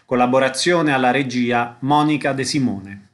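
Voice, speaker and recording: A man's voice: mid-range voice, moderate pace, over music. A man presenting a radio show as its host, announcing and interviewing.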